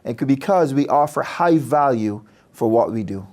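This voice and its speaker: A man from Barbados, a business school dean speaking about his program in a measured, earnest, persuasive way. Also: mid-range voice, lively intonation, a little mellow. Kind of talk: promotional interview talk.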